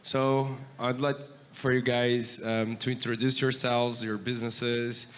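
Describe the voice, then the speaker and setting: A man's voice: mid-range voice, flat delivery, a little slow, a bit bright, slight background noise. A man moderating a conference panel, asking loose, searching questions with many fillers. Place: conference stage.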